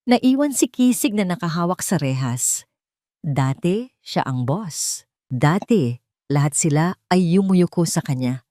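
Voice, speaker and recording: A woman narrates a melodramatic audio story in short, punchy sentences. Her voice sits somewhat low, and the recording is dry and close, with no room sound at all. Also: animated, measured pace, mellow, no echo. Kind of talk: scripted audio drama narration.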